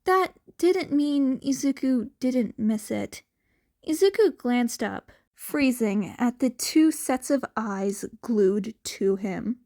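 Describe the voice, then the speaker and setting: A woman reading scripted fan fiction as an expressive story narrator. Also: a little high, slow.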